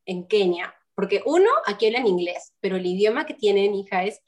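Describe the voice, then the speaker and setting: A woman from Peru in her twenties, telling her story in an animated, chatty way during an interview. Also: mid-range voice, brisk. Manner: animated, storytelling with quoted voices. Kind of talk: casual interview chat.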